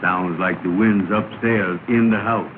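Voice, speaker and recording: A man's voice: low voice, fairly even intonation, measured pace. An actor playing a bossy, falsely confident man who keeps giving orders and reassurances, in a 1930 film comedy. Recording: scratchy tinny 1930 film soundtrack with hiss.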